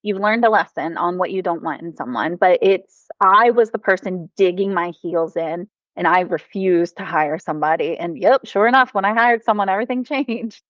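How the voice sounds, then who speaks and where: A woman's voice: lowish voice, normal speed, few pauses, no room sound. Upbeat American woman guest, slightly dull sound. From United States. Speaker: podcast guest, teacher business owner. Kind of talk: casual podcast conversation.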